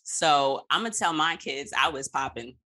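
A woman's voice: deep voice, moderate pace, sharp tone. A woman hosting a podcast in casual chat, talking in a playful, slangy way and swearing freely. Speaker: podcast host.

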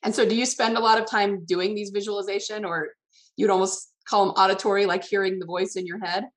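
Woman hosting a writing podcast, mid pitch. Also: flat delivery, brisk. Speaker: podcast host, interviewer. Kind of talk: casual interview chat about writing.

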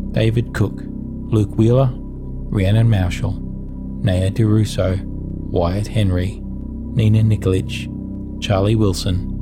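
A man reading scripted podcast announcements and credits as the show's announcer. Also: lowish voice. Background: music.

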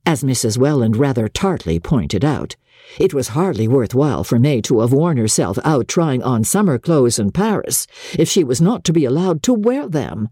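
A woman's voice: a bass voice, very animated, normal speed, warm tone, husky, no room sound, studio quality. A woman reading a classic novel aloud as scripted, dramatic audiobook narration.

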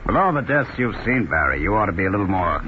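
An actor playing a brisk, matter-of-fact police lieutenant in a 1950s radio detective drama. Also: male voice, on the low side, big pitch swings. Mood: businesslike. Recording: dull, noisy old radio recording.

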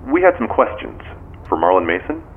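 A composed American police detective, a man who questions formally and carefully. His voice sits low but moves through lively inflections. It comes down a thin phone line in an audio drama. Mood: probing. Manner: measured, formal, probing. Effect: phone call. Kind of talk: modern audio drama dialogue. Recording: tinny phone line with background noise.

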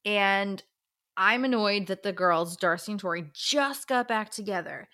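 Bright-voiced, blunt woman podcast co-host. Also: mid-range voice, measured pace. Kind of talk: casual chat about books.

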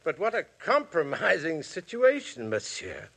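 A scheming blackmailer who purrs his taunts. The voice is bright and slightly husky, and the pitch rides high and lively. It sounds like an old radio broadcast. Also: measured pace, heavily acted. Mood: mocking. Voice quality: silky, mocking, insinuating. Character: oily scheming male blackmailer, middle-aged. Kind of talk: 1950s radio drama acting.

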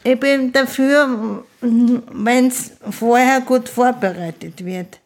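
A woman, an interview guest who speaks slowly and haltingly, often restarting her sentences. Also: a little high, darkish tone. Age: 50s. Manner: slow, halting speech with restarts. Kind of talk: casual conversation.

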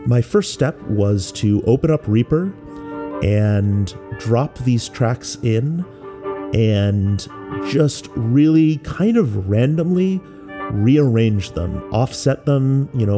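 A man who works as a musician explains experimental music in a measured, thoughtful way with pauses. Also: medium pitch, animated. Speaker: musician and composer. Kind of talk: explanatory talk about making experimental music.

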